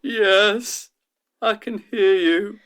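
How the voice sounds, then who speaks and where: Guy acting an overwhelmed anxious test patient in a radio play, slow halting intense delivery. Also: high voice, fairly bright tone, clean tone, heavily acted. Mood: haunted, entranced. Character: anxious male test subject, tormented and overwhelmed.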